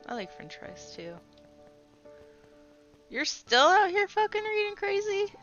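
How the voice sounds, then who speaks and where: A woman's voice: very high voice, sing-song, unhurried, fairly bright tone, muffled recording, faint music behind. A young woman chatting casually and teasingly while streaming a game, cursing freely. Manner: playful, teasing, swears casually.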